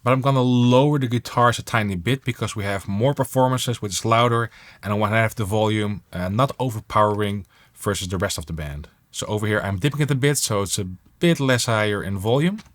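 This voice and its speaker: A man's voice: fairly low pitch, brisk. Man giving a casual guitar production tutorial. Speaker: music production instructor and guitarist. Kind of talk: casual software tutorial, explaining while demonstrating.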